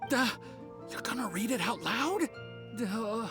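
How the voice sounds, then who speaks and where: A man's voice: very high voice, animated, slow, heavily acted. A man voicing an earnest young alchemy student, flustered and deferential, in an anime-style video game. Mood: embarrassed. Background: faint game music.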